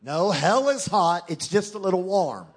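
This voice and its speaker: A man's voice: high voice, sing-song, moderate pace, husky. A man with a Texan accent preaching a sermon as guest speaker, emphatic and joking, calling out to his listeners. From Texas. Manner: emphatic, joking, call-and-response with the crowd.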